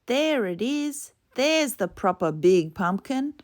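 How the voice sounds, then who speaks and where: Woman voicing an eager, headstrong high-pitched boy. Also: slow. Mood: determined. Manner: excitable, pushy. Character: eager, impatient, headstrong young island boy.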